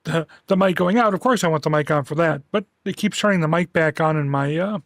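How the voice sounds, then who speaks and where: Gravelly man chatting on a livestream. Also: on the high side, a little fast, no room sound, clean studio sound. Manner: rambling, many restarts and fillers; relaxed, soft-spoken. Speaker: streamer. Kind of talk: casual livestream chat. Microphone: headset.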